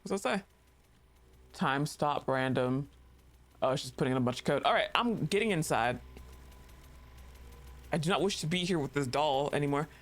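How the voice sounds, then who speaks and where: A woman's voice: low-pitched, animated, moderate pace, pauses often. A woman streaming a game live, giggling often, swearing freely and gushing thanks to her chat.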